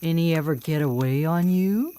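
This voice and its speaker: Actress as a low-voiced, taunting female vigilante killer. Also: normal speed, mellow, a little hiss or hum, natural voice. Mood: mocking, probing. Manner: mocking, cocky, coolly menacing. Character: vengeful, taunting female vigilante killer who hunts predators. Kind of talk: live radio drama acting.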